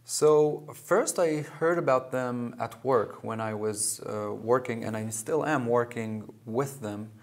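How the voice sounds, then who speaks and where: A man in his thirties, from Russia and Israel, answering questions as a guest in a TV studio interview, halting with many fillers and restarts. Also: mid-range voice. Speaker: club president and guest. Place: small TV studio.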